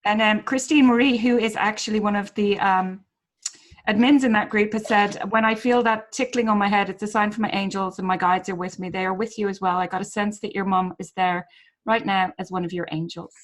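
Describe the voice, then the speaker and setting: A woman hosting a live webinar on a video call, warmly encouraging and supporting a caller. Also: mid-range voice, fairly even intonation, a little fast. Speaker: webinar host.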